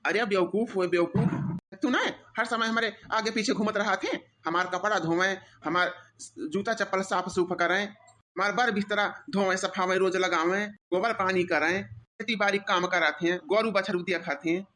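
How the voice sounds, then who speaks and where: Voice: medium pitch, steady tone, normal speed, sharp tone, a little hiss or hum, lightly acted. A performer voicing a smug, mocking young husband in an Awadhi cartoon comedy, teasing and boastful with an Awadhi accent. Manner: teasing, boastful, rambling mockery.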